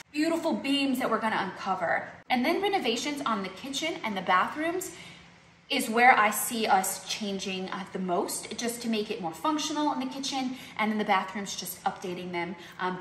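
A chatty, gushing woman in her thirties hosts her own home vlog. Her voice is bright and a little high. The sound is roomy with a faint hiss behind it. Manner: bubbly, gushing, chatty with many fillers. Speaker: vlog host. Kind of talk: casual home tour vlog. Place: outdoor garden, then indoor home.

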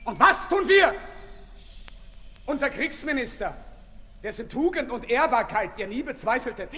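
An actor in a 1960s German radio play, playing a fiery revolutionary tribune with urgent, forceful oratory. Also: male voice, very high voice, very animated, moderate pace, heavily acted. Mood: fiery, accusing. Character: fiery male revolutionary tribune haranguing the National Assembly. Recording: reverberant 1960s radio recording.

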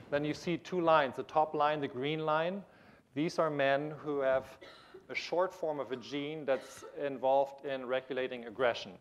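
A man in his thirties giving a conference keynote lecture in a large auditorium on a lapel mic, explaining with frequent restarts and fillers. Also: mid-range voice. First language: German. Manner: gestures while pacing the stage. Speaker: keynote speaker. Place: large auditorium stage with audience.

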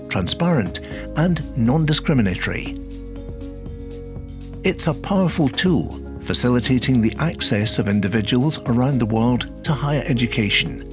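A man giving measured, scripted documentary narration. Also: mid-range voice. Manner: measured, even delivery. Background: music.

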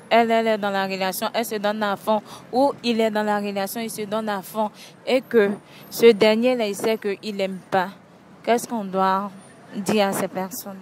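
A woman interviewing passers-by on a night street, asking brisk, polite questions and pressing with follow-ups into a handheld mic. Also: medium pitch, slight background noise. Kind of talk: vox pop questions. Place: city street at night beside traffic.

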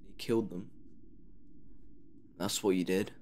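A man's voice: deep voice, fairly even intonation, measured pace, darkish tone, slight background noise. Sarcastic man narrating a game. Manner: sarcastic, mocking the game as he plays. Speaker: gamer playing a horror game. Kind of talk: let's play commentary.

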